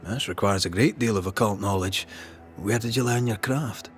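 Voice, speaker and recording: An actor playing a plain-spoken, skeptical working ghost hunter in a video game, with a blunt, wry manner. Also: male voice, fairly low pitch, moderate pace, soft background music, close to an everyday voice. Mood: probing, businesslike. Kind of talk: dramatic video game acting.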